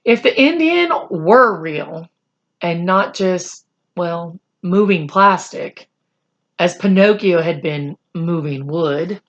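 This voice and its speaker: A woman reading a children's chapter book aloud in expressive, deliberate storytelling phrases. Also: on the low side, lo-fi sound.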